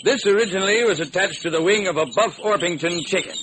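A man's voice: sits high, fast talker, natural voice. An actor playing a pedantic, scholarly male bird expert who speaks precisely and formally in an old-time radio drama. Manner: precise, formal, throat-clearing academic. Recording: noisy old radio recording.

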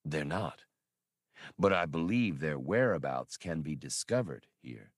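A man voicing a courteous, grave older scholar in an audiobook, with formal, deliberate wording. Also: very deep voice, expressive, no room sound, studio quality, exaggerated voice. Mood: assured. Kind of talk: audiobook character dialogue.

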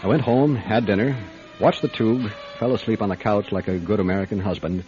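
An actor playing a wary, dry-humored private detective in a radio drama, with a measured, probing delivery. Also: male voice, low-pitched, a little mellow, lightly acted. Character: gruff, wary male private detective who narrates. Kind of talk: radio drama acting and first-person narration. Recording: dull lo-fi radio drama recording.

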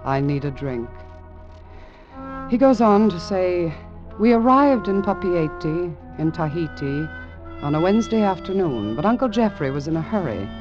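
An actor playing the wry narrator of an old-time radio suspense drama. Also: male voice, high voice, very animated, normal speed. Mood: wry, pitying. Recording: muffled old radio recording with music.

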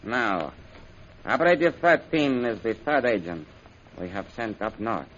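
An actor playing a commanding, methodical Chinese general in an old-time radio serial, speaking formally and deliberately. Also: male voice, on the low side, lively intonation, a bit bright. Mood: measured authority. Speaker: general giving orders and reading out messages. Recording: dull, noisy old radio recording.

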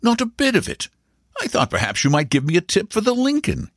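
A hearty gentleman uncle acted by a male reader with hugely swooping intonation. He sounds indulgent and amused, teasing and questioning in lively storybook dialogue. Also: high-pitched, fairly quick, no echo, clean studio sound, voice pushed up, full character voice. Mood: curious, friendly. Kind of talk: audiobook character dialogue.

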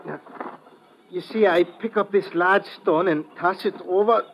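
A man's voice: high voice, measured pace. An actor playing a superstitious, easygoing island boatman in an old-time radio drama, speaking singsong simple English. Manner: singsong simple English, calls himself by name. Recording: noisy old radio recording.

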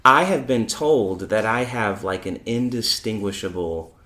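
A woman's voice: rumbling low, measured pace, fairly bright tone. Host, woman, neutral American accent, talkative and giggly, roomy echo. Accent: neutral American, hard to place. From Chicago. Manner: chatty, laughs often, acts out voices. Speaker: podcast host. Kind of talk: casual church interview chat.

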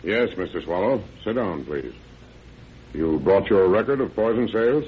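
Casting: confident lawman for vintage radio drama; deep, firm, businesslike, dull period sound. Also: expressive, moderate pace. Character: confident, methodical male sheriff, firm and brisk. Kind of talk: old-time radio drama acting. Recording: dull, noisy old radio recording.

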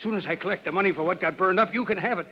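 An actor playing a shifty, nervous man suspected of arson, whining and pleading in rough street slang in an old radio drama. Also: high-pitched, very fast. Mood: desperate pleading. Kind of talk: 1940s radio acting. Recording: dull lo-fi old radio recording.

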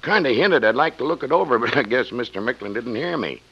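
An actor playing a good-natured, plain-spoken sheriff with a rural Western American accent in an old radio western. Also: male voice, mid-range voice, expressive, fast talker, vocal fry, breathy edge. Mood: puzzled, folksy. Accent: rural American West. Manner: folksy, plain-spoken, drawling. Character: folksy, good-natured small-town Old West sheriff. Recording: dull old radio recording.

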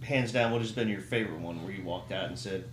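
A man's voice: low-pitched, quick pace. A man hosting a casual group chat, putting questions and short remarks to the others. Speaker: podcast host asking questions. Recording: echoey room with faint noise.

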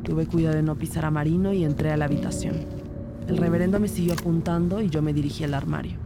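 A woman's voice: sits low, fairly even intonation, quick pace, very noisy. A woman playing a composed police detective who narrates her investigation in a scripted crime audio drama. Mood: tense, hushed suspense. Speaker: narrator and lead character. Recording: loud music behind the voice. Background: music.

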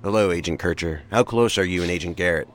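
An actor playing a cool, probing male federal investigator in an audio drama, speaking in a formal, measured way. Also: deep voice, fairly bright tone, slight background noise. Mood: dry, brisk. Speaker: investigator in a task force.

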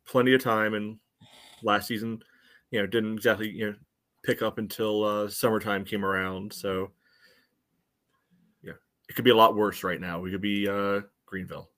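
A man co-hosting a sports podcast, giving casual analysis in measured, halting phrases. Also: on the low side, flat delivery, fairly bright tone.

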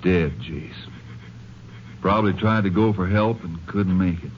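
A man's voice: low voice, unhurried. An actor playing a loyal, observant lawman sidekick in a 1950s radio drama, clipped and plain-spoken. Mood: businesslike. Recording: muffled, noisy 1950s radio recording.

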